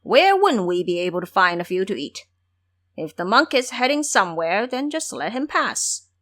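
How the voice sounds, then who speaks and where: A brash, impulsive monster king, put on by a woman in an audio storybook. He is dismissive and questioning, with a very lively swooping intonation. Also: mid-range voice, normal speed.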